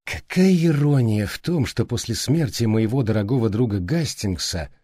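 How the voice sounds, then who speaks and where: Man reading a courteous, mellow-voiced detective. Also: mid-range voice, lively intonation, no room sound, studio quality, lightly acted. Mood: wistful. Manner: polite, deliberate. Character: thoughtful, courteous, exacting male detective. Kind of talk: audiobook character reading.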